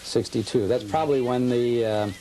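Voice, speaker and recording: Brief: male host, mid-pitched, relaxed interviewer, faint hiss on old cable TV audio. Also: normal speed, low-quality recording. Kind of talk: casual interview and read commercial.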